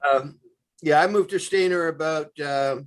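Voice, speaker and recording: A man's voice: on the high side, unhurried, poor audio. A man committee member speaking earnestly and thoughtfully on a video call. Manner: earnest, reflective, complimentary. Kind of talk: casual meeting chat.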